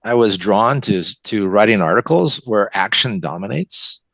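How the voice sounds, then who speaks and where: A man's voice: lowish voice, lively intonation, moderate pace, vocal fry, fairly bright tone, no room sound. An older man with an American accent, talking reflectively in a conversational interview and often repeating his words. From West Coast of the United States. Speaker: interview guest, writer.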